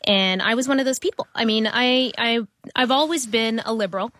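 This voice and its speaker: A woman's voice: on the high side, fairly quick, a bit bright, no echo, clean studio sound. A woman from Toronto with a Canadian accent, a guest on a radio show, answering thoughtfully with fillers and restarts. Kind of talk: interview answers. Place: radio studio.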